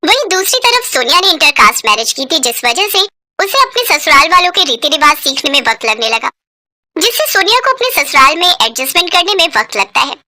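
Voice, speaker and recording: A woman's voice: high voice, very animated, fairly quick, sharp tone. A woman narrating a scripted cartoon moral story.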